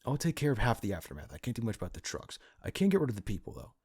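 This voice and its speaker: A man playing a cynical, pragmatic partner in crime to a vigilante in an ASMR roleplay, talking in a casual, halting, conspiratorial way. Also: mid-range voice, wildly swooping pitch, darkish tone, husky. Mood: businesslike planning. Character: cynical, pragmatic male criminal accomplice to a vigilante.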